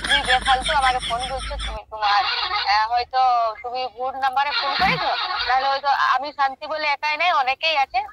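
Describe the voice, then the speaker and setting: A woman's voice: high voice, steady tone, fast. A woman, arguing bluntly and indignantly on a phone call. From Medinipur, West Bengal. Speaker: prank call recipient. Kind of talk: annoyed phone argument. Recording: very noisy phone line.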